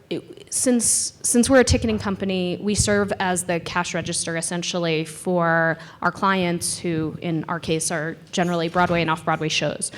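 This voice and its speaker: A woman with a somewhat low voice speaks on a conference panel. She explains her business step by step in a measured way, pausing often with ums. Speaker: panelist, ticketing company director.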